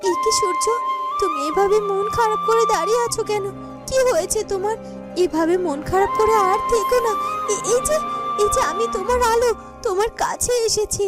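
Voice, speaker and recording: A woman's voice: very high voice, normal speed. A woman playing a devoted, emotional young heroine in a melodramatic fairytale cartoon, earnest and full of feeling. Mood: concerned. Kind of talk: melodramatic Bengali cartoon voice acting. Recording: music behind the voice.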